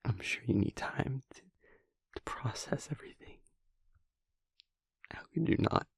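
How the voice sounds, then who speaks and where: A man voicing a tender, remorseful demon lover in an intimate audio roleplay, speaking haltingly and gently in a breathy, creaky whisper. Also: mid-range voice, expressive, dry recording, clean studio sound, exaggerated voice. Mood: apologetic, troubled. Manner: halting, gentle, long pauses.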